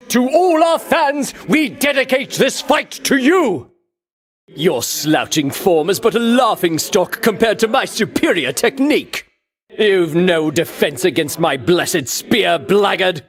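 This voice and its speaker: An actor playing a pompous, vainglorious champion warrior in a video game, declaiming grandly and scornfully in archaic heroic language. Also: male voice, high voice. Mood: exultant, proud. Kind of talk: theatrical video game battle lines.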